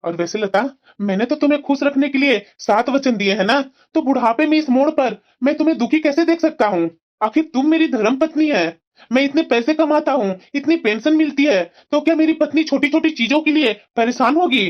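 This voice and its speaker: A woman's voice: high-pitched, fairly quick, muffled recording, close to an everyday voice. A woman voicing a protective elderly husband in a family drama, speaking earnestly and consolingly. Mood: reassuring, indignant. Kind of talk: Hindi family drama story dialogue.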